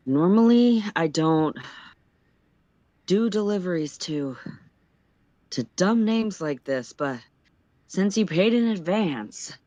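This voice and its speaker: Story role: irritable, breathless delivery guy acted by a man, swooping pitch, dry sound. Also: animated, slow pace, long pauses, mellow, no echo. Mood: puffing, irritated. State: puffing, out of breath.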